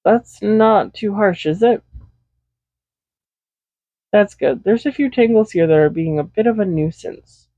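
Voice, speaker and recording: A woman playing a doting, possessive villain in an intimate roleplay audio, speaking softly and without hurry in a gently teasing manner. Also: mid-range voice, no room sound. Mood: gentle, coaxing. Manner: soft-spoken, unhurried, gently teasing. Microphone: Blue Yeti USB microphone, close. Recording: slightly dull home microphone recording.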